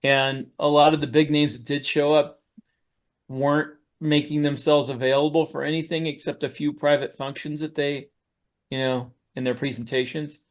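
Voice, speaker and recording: A man with a somewhat high-pitched voice chats casually as a podcast co-host. His delivery is halting, as he often pauses to search for the next words. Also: flat delivery. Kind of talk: casual conversational recollection.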